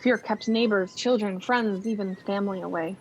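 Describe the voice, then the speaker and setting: A woman's voice: normal speed, lightly acted. A woman playing a reflective thirty-nine-year-old looking back on her life in a scripted radio play. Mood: somber, reflective. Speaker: actor in a college radio play. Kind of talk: scripted radio drama narration. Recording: muffled with faint rumbling noise.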